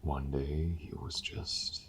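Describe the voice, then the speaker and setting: A man playing a weary, grieving man in an intimate audio drama, speaking in a hushed, halting, confiding way with a gravelly, husky voice. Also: extremely low pitch, little pitch movement, whispered. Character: weary, grieving man haunted by his murdered loved one. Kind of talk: intimate audio roleplay drama addressed to the listener.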